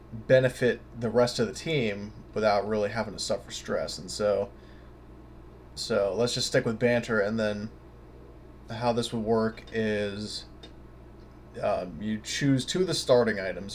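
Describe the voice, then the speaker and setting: A man giving an informal, off-the-cuff tabletop game tutorial, rambling casually and thinking aloud over a noisy recording. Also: on the low side. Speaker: tabletop game guide presenter. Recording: noticeable background noise.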